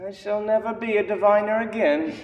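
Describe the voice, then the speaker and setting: A man's voice: sits high, little pitch movement, brisk, natural voice. A man playing a hesitant young husband who bluffs as a fortuneteller in a staged story reading. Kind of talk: reader's theater storytelling. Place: university performance hall. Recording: echoey hall, distant mic.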